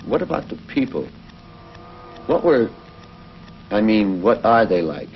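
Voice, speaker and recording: An actor playing a resolute, heroic pilot from the future in 1950s television science fiction, earnest and straightforward. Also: male voice, lowish voice, very animated, a little slow, creaky, exaggerated voice. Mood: earnest, questioning. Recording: noisy, dull 1950s television soundtrack.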